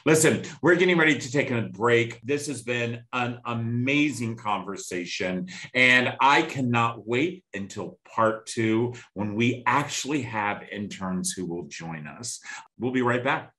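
A man's voice: medium pitch, unhurried, pushed and bright. A man in his fifties hosting an interview show, blunt and emphatic, often saying "you know" and "okay". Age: 50s. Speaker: show host.

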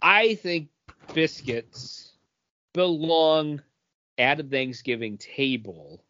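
A man's voice: a little high, lively intonation, extremely slow, sharp tone, muffled recording. A man talking casually as a co-host in a podcast panel discussion.

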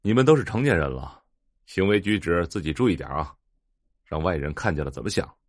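A stern eldest brother, a humorless businessman in an audio drama. His very deep voice is curt and reproving, in a dry, close recording. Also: lively intonation, a little fast, no echo. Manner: curt, admonishing, authoritative. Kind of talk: Chinese audio drama acting.

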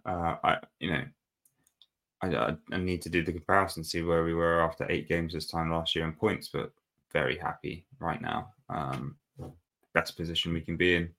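A man's voice: low voice, fairly bright tone. A man co-hosting a football podcast, chatting casually and rambling with fillers and restarts. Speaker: podcast co-host.

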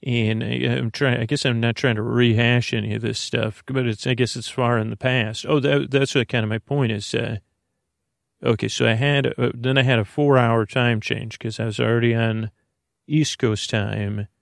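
Male American podcast host for sleep monologue: creaky, dry studio sound, rambling, hesitant, drifting delivery. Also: mid-range voice, little pitch movement, dry recording. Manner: meandering, halting, keeps trailing off. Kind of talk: rambling bedtime monologue to help listeners sleep.

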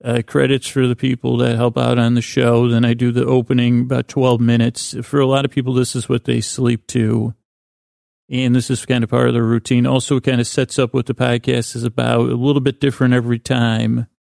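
A man's voice: mid-range voice, level tone, fairly quick, gravelly, darkish tone, no room sound, studio quality. A man hosting a sleep podcast in lulling, soothing tones, rambling to the listener with stammered false starts and trailing thoughts. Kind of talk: rambling bedtime monologue, talking to the listener.

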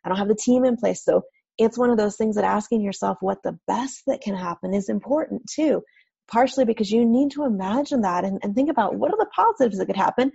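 A woman hosts a podcast in a gravelly, husky voice. She affirms her guest and talks in a relaxed, casual way. The recording sounds dull and lo-fi. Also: mid-range voice, fast talker. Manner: supportive, affirming interviewer who wraps up with plugs. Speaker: podcast host. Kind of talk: casual interview chat.

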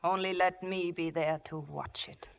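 An actress playing a seductive, vengeful royal mistress in a poised, scornful manner, in 1950s radio drama. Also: female voice, on the low side, steady tone, unhurried, gravelly, dull audio, very noisy.

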